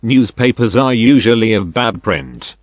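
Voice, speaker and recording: An older man who is a non-native English speaker, giving a deliberate, scripted step-by-step product demonstration. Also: medium pitch, lively intonation, no echo, clean studio sound. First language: not English. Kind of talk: scripted instructional narration.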